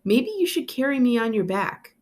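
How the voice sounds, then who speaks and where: A woman's voice: a little high, expressive, normal speed, darkish tone, pitched above the performer's own voice. A woman voicing a wry, weary twelve-year-old big sister in a storybook reading.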